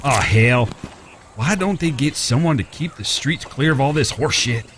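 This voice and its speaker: An actor playing a plain-spoken, smitten cowboy in a Western audio drama, halting and folksy. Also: male voice, mid-range voice, lively intonation, a bit bright, a little hiss or hum, close to an everyday voice. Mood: grumbling.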